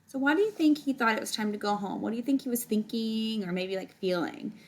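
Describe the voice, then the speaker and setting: A woman's voice: a little high, brisk. A woman reading a picture book aloud to children, with chatty asides and checking questions.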